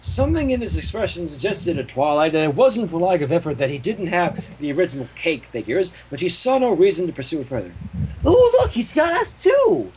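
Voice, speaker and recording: A man's voice: fairly high pitch, sing-song, fast, few pauses, slight background noise, low-quality recording. A man reading fan fiction as narrator, with casual asides. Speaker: story reader.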